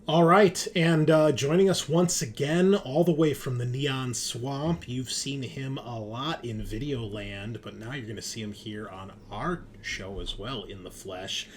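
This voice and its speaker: A man's voice: mid-range voice, a little hiss or hum. A man hosting a tabletop game show, chatting casually and upbeat as he introduces the players. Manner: informal, upbeat, chummy. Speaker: host and game master of a tabletop RPG show.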